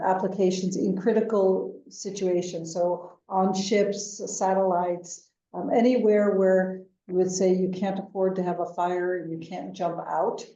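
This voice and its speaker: American businesswoman guest, fifties, mellow tone, distant and dull line, measured with fillers. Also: medium pitch. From United States. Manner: measured, with frequent ums and uhs. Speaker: venture capital executive, guest. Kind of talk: interview answers. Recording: distant, echoey, dull remote call audio.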